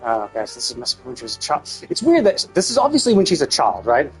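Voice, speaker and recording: A man doing casual live game stream commentary, rambling and thinking out loud with casual swearing. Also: mid-range voice, wildly swooping pitch, quick pace. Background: faint game music.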